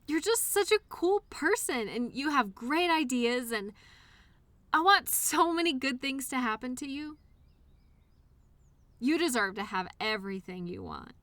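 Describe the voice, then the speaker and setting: Woman acting a high-voiced, encouraging imaginary friend. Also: animated, unhurried, dry recording. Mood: affectionate, reassuring. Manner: earnest, reassuring, gently encouraging. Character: upbeat, loyal childhood imaginary friend, tenderly encouraging. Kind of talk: one-sided audio roleplay, intimate scripted conversation.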